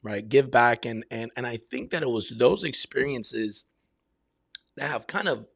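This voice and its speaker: Gravelly-edged man chatting in a close, dry recording. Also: medium pitch, measured pace, fairly bright tone, no echo. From Brazil and Miami, United States. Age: 50s. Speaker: podcast guest, military veteran and realtor. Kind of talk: casual conversational interview answers.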